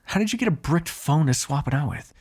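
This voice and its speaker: A man playing an ageless, cynical male vampire in an audio roleplay, with a gravelly, husky voice and a sardonic, exasperated manner. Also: on the high side, lively intonation, fairly quick, a little mellow. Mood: exasperated, dry. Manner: sardonic, drawling, swearing, exasperated asides. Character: ageless male vampire, cynical, menacing, grudgingly fond captive. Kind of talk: ASMR roleplay, one-sided dramatic dialogue.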